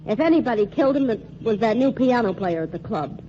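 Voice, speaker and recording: An actress playing a streetwise young nightclub singer in a 1940s radio drama, brassy and slangy. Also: female voice, a little high, expressive, fast talker, warm tone, lightly acted. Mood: defensive. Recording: muffled 1940s radio broadcast with faint hiss.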